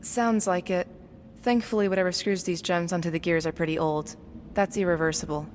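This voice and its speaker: Woman acting practical young lady explorer, lowish voice, calm n matter of fact, big cave reverb, audio drama. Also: a little fast, natural voice. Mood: focused. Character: practical, level-headed young woman, handy leader of explorers. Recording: long cave-like reverb, faint noise.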